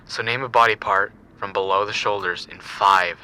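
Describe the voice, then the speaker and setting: A man's voice: lowish voice, fairly even intonation, moderate pace, sharp tone, clear, resonant tone, poor audio. A man playing a sadistic, coldly amused killer in an audio drama, taunting and menacing in a matter-of-fact way.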